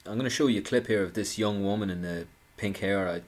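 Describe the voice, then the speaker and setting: A man's voice: lowish voice, fast. A man giving an indignant, sarcastic political commentary, talking with frequent restarts. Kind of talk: monologue commentary.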